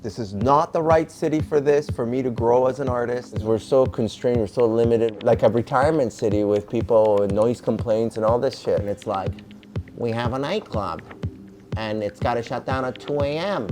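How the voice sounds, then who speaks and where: A man's voice: medium pitch, normal speed. A man in his forties, a DJ and music producer, talking in a casual, rambling documentary interview with frequent fillers and swearing. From Canada.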